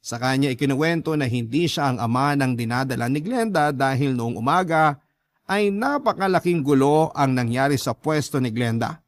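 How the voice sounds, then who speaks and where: A man's voice: medium pitch, lively intonation, normal speed, no echo, studio quality. A man giving scripted first-person dramatic narration, reading out a love story as a letter sender would tell it.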